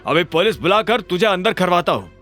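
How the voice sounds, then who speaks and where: An indignant, hot-tempered man acted by a male performer in an audio drama. His voice is raised high and loud in outrage, with music underneath. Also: big pitch swings, a little fast, heavily acted. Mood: furious. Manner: shouting, outraged.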